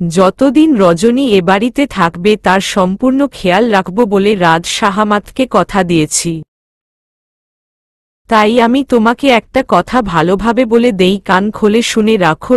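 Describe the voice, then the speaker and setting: A woman's voice: medium pitch, no echo, clean studio sound, close to an everyday voice. A woman voicing a stern, irritated father with a firm, commanding manner in an audio story.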